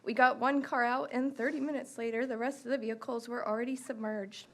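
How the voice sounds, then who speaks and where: A woman giving steady, orderly public testimony at a county meeting. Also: fairly high pitch, fairly quick. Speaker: resident giving public comment. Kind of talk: prepared personal testimony. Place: county commission meeting room.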